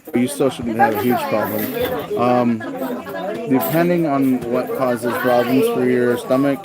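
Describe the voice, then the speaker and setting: Guy in his 30s vlogging, slow ummy chat, big noisy room full of people. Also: medium pitch, fairly even intonation. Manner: unhurried, hesitant, many ums and uhs. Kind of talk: casual chat about living costs abroad. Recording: loud crowd chatter in a large hall.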